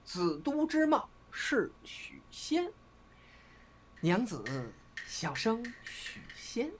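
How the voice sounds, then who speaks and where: Man playing a lively, cheeky young crosstalk comedian. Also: sits high, very slow, full character voice. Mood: playful teasing. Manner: theatrical, teasing, playful. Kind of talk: crosstalk comedy dialogue in audio drama. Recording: loud background noise.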